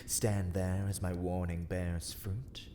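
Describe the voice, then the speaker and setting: Man voicing a slow, deep, menacing vampire lord. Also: flat delivery, background noise. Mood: ominous, threatening. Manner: formal, archaic, slow and deliberate threats. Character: menacing, haughty male vampire lord of a misty castle. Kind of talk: dark ASMR roleplay acting.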